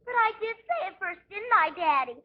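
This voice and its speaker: A woman's voice: extremely high pitch, expressive, brisk. An actress playing a cheeky, bratty little American girl who taunts and insists, in a 1950s radio sitcom. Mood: bratty, taunting. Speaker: little sister in a family comedy. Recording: dull 1950s radio recording.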